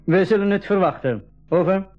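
An actor playing a friendly, patient expedition leader who explains things in a radio play. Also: male voice, high voice, normal speed, soft background music, lightly acted. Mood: businesslike. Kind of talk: 1980s school radio drama acting. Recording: dull 1980s radio recording.

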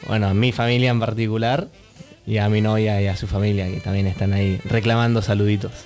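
Guy guest on radio, chatting quickly, faint music in the back. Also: lowish voice, level tone, darkish tone. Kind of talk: casual radio chat.

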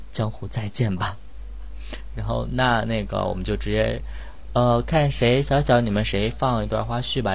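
A man's voice: fairly low pitch, vocal fry, a little hiss or hum. A man hosting a casual group chat in an informal, rambling way, often repeating his phrases. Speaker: host of a cast chat session for an audio drama. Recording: music playing behind the voice. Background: background music.

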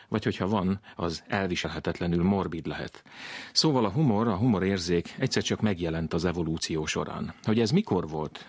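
Deep-voiced male radio science narrator. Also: slightly rough, dry recording.

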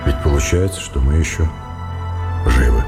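An actor playing a gentle, courteous, bewildered man, hesitant and apologetic, in a radio play. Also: a bass voice, moderate pace, creaky. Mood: awkward, dazed. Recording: loud ominous music over voice.

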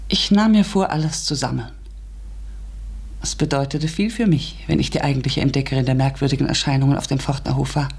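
Husky, earnest, curious woman. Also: rumbling low, fairly even intonation, fairly quick, darkish tone, full character voice. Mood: reflective, ambitious. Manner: earnest, reflective, politely hesitant. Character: eager, curious, ambitious woman narrating her strange discovery. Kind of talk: literary radio play narration and dialogue.

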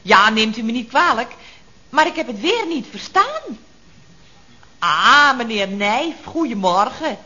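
An actress playing a chatty, nosy, cheerful telephonist in an old radio comedy, with teasing, exaggerated intonation. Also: female voice, a little high, very animated, a little slow, pushed and bright, exaggerated voice. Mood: surprised, puzzled. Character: chatty, nosy, cheerful female office telephonist at builder's firm. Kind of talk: 1970s radio comedy acting. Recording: dull vintage radio recording.

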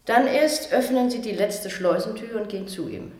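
An actress playing a strict, cold matron in a German radio play, giving orders in a brisk, condescending, authoritative manner. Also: female voice, on the high side, a little mellow, close to an everyday voice. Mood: brisk, instructing. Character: strict, cold institutional matron with a callous streak. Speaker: head matron instructing a new attendant.

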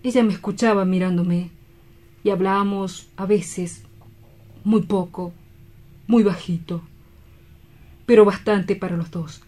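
A woman playing a grief-stricken, guilt-ridden doctor in a radio drama, solemn, halting and imploring. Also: medium pitch, steady tone, mellow, natural voice. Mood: sorrowful.

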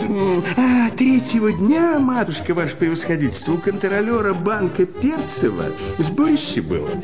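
An actor playing a decrepit, fawning and gossipy old government clerk in a radio play, with hesitant, old-fashioned chatter. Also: male voice, sits high. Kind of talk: theatrical radio play of a classic short story. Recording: studio radio play with music behind.

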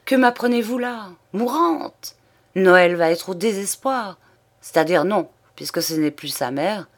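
Audiobook role: garrulous, self-satisfied old gentleman sleuth, exclamatory and emphatic played by a female reader. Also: fairly low pitch, a little slow, close to an everyday voice. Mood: shocked, agitated. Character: excitable, vain, garrulous old man, amateur detective. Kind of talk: audiobook character dialogue.

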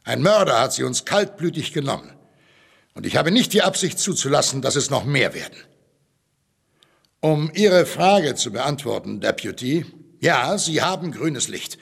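An actor playing a stern, vengeful, paternal sheriff, grave and authoritative, in a German radio drama. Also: male voice, mid-range voice, sing-song, moderate pace, creaky, fairly bright tone, slightly rough, full character voice. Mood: solemn, vengeful.

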